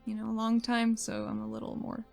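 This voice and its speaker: A woman playing a guarded, uneasy female character in a tabletop game, halting and understated. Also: on the high side, sing-song, gravelly, faint music behind. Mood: confused, uneasy. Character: guarded, uneasy woman returning to active duty.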